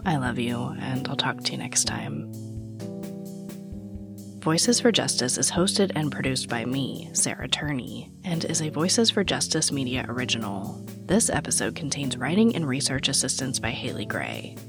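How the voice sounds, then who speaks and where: A young woman hosting a true crime podcast who is losing her voice, raspy and soft-spoken, earnest, with frequent ums. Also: a bass voice. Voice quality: raspy, whispery. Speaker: podcast host. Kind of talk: true crime podcast narration and casual after-show chat.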